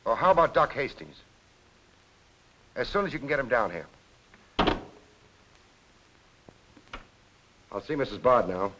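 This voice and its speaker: A man's voice: medium pitch, sing-song, brisk, fairly bright tone, lo-fi sound. An American man acting a tough, sceptical police lieutenant in a 1940s crime film, clipped and authoritative. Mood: businesslike. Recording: hissy old film soundtrack.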